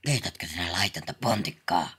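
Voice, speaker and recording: A woman voicing a stern, formal male official in a deadpan way, with a rough, husky voice, for a comedy cartoon dub. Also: extremely low pitch, no room sound, clean studio sound, heavily acted. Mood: disapproving. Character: stern, prim, teetotal adult male official.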